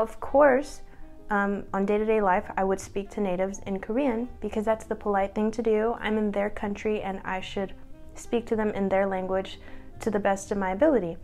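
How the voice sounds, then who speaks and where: A young woman in her twenties chats to her camera at home in an easy, upbeat way, laughing at herself as she goes. Her voice sits at an ordinary middle pitch, and soft music plays faintly underneath. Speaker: vlogger. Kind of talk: casual chat to camera.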